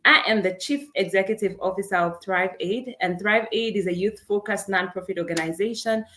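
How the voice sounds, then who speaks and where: A woman's voice: medium pitch, brisk, a bit bright, slight background noise. Upbeat Zambian-born woman hosting online. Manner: upbeat, welcoming, reads chat names aloud. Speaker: online event host. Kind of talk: live webinar hosting.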